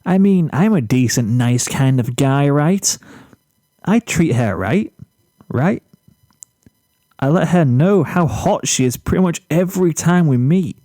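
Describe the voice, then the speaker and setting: Casting: cocky, clueless high school boy for an audio story, played by an adult man, higher-pitched, smug, full of complaint. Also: animated, measured pace, dark tone, dry recording, clean studio sound. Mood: baffled self-pity. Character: cocky, oblivious, self-pitying teenage boy, whiny would-be charmer.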